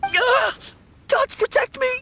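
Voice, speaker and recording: A woman's voice: very high voice, takes it slowly, vocal fry, very noisy, full character voice. Timid, jumpy young male undertaker. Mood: terrified, pleading. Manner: stammering, polite, fearful. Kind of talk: video game voice acting.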